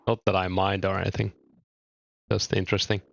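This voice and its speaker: A young man from Finland with a mild Finnish accent, chatting casually with viewers while streaming a game, relaxed and thinking aloud with small hesitations. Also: sits low, a little fast, creaky, dry recording, lo-fi sound. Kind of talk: casual chat reading viewer comments.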